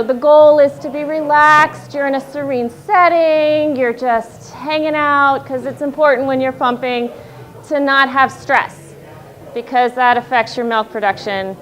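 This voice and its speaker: A woman in her thirties telling a wry, self-deprecating story on stage at a live storytelling night, in a loud voice. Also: sits high, normal speed.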